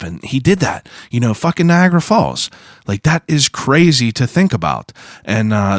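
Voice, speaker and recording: A hoarse man hosting a podcast, telling a story in a loose, rambling way full of slang. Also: mid-range voice, dry recording, studio quality. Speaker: podcast host. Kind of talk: casual podcast monologue.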